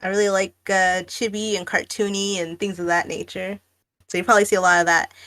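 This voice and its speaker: A woman in her late twenties talks casually as a guest on a stream. She rambles, restarts often and fills pauses with "like" and "um". Her pitch sits in the middle. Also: level tone, fairly bright tone. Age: 20s. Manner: chatty, rambling, many fillers and restarts. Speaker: guest artist. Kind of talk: casual chat.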